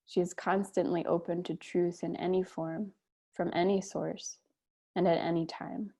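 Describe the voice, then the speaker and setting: A woman leading a guided meditation on a video call, speaking gently and unhurriedly with long pauses. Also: fairly low pitch.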